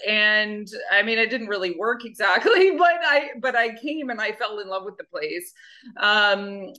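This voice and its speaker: A woman's voice: mid-range voice, pushed and bright. A woman guest who founded an animal rescue, chatting casually in a rambling, self-deprecating way. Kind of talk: casual interview chat.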